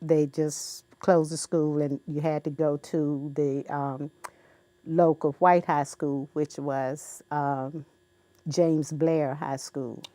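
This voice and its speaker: A woman in her seventies from Virginia, a guest in an interview, speaking in an unhurried, reflective way with many ums and uhs. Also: low voice, flat delivery, mellow, no room sound. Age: 70s. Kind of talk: recorded interview.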